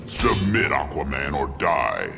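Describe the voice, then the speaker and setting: An actor playing a tyrannical god of worlds in a video game, pompous and threatening. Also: male voice, rumbling low, huge pitch swings, slow pace, gravelly, exaggerated voice. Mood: menacing, domineering. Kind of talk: video game villain acting. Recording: music behind the voice.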